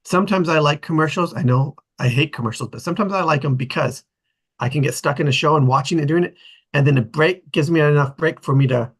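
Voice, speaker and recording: A man chatting casually in a group, rambling and halting, with a husky, slightly gravelly voice. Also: fairly high pitch.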